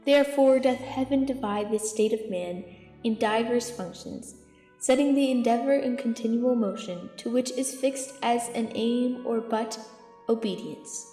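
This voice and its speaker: A woman's voice: a little high, measured pace, mellow, natural voice. Woman playing an eloquent archbishop, drenched in echo. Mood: measured, persuasive. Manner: declamatory, rhetorical. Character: eloquent, zealous archbishop counselling a king. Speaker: amateur actor in student audio drama. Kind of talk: Shakespearean verse acting. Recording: echoey hall reverb, music underneath.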